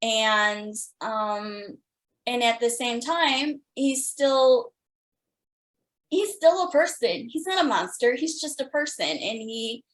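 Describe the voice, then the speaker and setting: A woman hosting a podcast, talking as an enthusiastic fan in a gushing, halting way. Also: on the high side, expressive. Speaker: podcast host interviewing guests.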